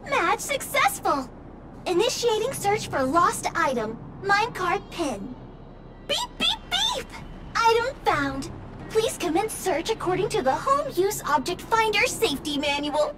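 An actress voicing a small, eager robot helper in a video game, with perky singsong announcements and salesy politeness. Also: female voice, extremely high pitch, very animated, unhurried, full character voice. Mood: brisk, announcing. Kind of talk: scripted video game voice acting.

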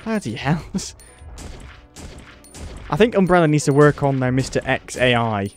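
A man's voice: mid-range voice, animated, moderate pace, soft background music. A man with a British accent giving casual, wry commentary while playing a game and laughing at it. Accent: British English. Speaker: let's play host.

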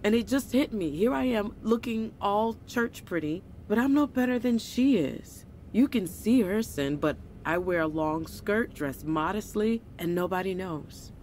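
An actress playing an earnest, troubled middle-aged pastor's wife in a confessional manner for an audio drama. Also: female voice, medium pitch, animated, a little slow, hardly any pauses, darkish tone, a little hiss or hum, lightly acted. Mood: confessional, anguished.

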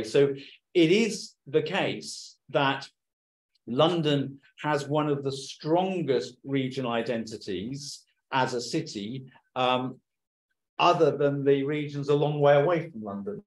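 A man speaking on a discussion panel, plain-spoken and making his points through everyday examples. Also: mid-range voice. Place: meeting room in Whitehall. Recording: echoey meeting room.

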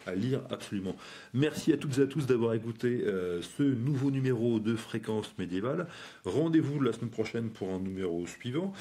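A man's voice: mid-range voice, expressive, mellow. A man hosting a history program who speaks casually with many fillers. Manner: halting, filler-heavy. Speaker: podcast host. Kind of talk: casual radio talk.

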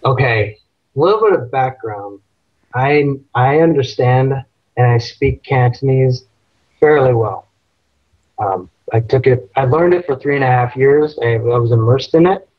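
A man's voice: mid-range voice, measured pace, no room sound. Rambling man on a lo-fi video call. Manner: rambling, self-deprecating, laughs often. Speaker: guest, stunt actor and fight choreographer. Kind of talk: casual video-call interview. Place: indoor room at home. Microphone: webcam on video call. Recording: dull lo-fi call audio.

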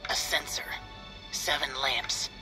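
An actor playing a stern, aloof young immortal demon-slayer in a video game, speaking gravely and formally in a hushed, breathy voice. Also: male voice, fairly high pitch, slow pace, low-quality recording. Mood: grave, instructive. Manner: grave, formal, archaic phrasing. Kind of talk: video game character acting. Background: music.

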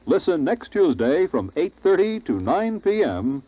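A man's voice: on the high side, big pitch swings, unhurried, no echo. A man with an American accent reading a network announcement in 1950s radio style. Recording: dry, tinny 1950s radio recording.

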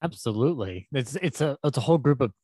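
Guy hosting a casual interview, mid-pitched voice, really dry sound. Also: expressive, quick pace, mellow, slightly rough, no room sound. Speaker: interviewer, host.

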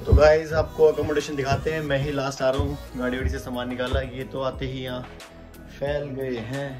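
A man's voice: mid-range voice, completely flat delivery, a little fast. A man, chatting informally to viewers as a travel vlogger. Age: 30s. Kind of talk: casual vlog narration to camera. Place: moving between car, house and busy outdoor streets. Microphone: phone at arm's length. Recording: phone recording with music behind.

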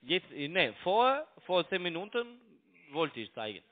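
A man's voice: sits high, very animated, unhurried. A man with a foreign accent, a non-native speaker arguing in broken sentences and insistently repeating his phrases over the telephone. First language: not German. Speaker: phone broker and scam caller. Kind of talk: argumentative phone conversation. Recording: tinny narrowband phone line.

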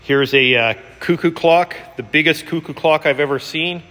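A man with a fairly high, forceful voice talks off the cuff as he shows items around a big warehouse. He sounds like an enthusiastic auctioneer giving a casual preview tour. The room adds a long reverberation to his words. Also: normal speed. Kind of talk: casual unscripted walkthrough narration. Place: large concrete-floored auction warehouse, indoors. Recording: reverberant large hall.

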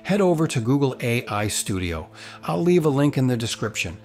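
A man's voice: medium pitch, big pitch swings, normal speed, darkish tone. A man hosting a tutorial with upbeat, promotional scripted narration. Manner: enthusiastic, promotional. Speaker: tutorial host. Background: background music.

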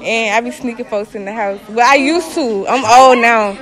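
Teen girl with a high voice joking around in a hallway, kids chattering. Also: normal speed, a bit bright, a faint crowd. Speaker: interviewee.

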